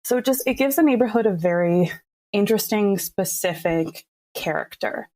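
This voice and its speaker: A woman's voice: mid-range voice, lively intonation, normal speed, a little mellow. A woman speaking as a podcast creator in a casual interview, with a wry, anecdotal manner.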